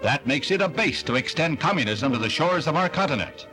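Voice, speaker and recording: A man's voice: a little high, expressive, brisk, rough recording. An American man reading scripted 1960s newsreel narration in a declamatory announcer's delivery, on a vintage soundtrack. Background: newsreel music.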